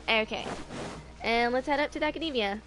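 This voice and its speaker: A woman in her twenties on a casual game livestream, chatting and reading dialogue aloud in a halting, rambling way. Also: on the high side, over music, rough recording. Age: 20s. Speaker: game streamer.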